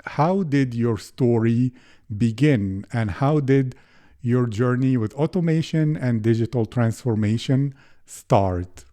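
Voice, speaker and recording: A man hosting a business podcast, reading a scripted intro and then asking interview questions in a measured way. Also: mid-range voice, warm tone. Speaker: podcast host.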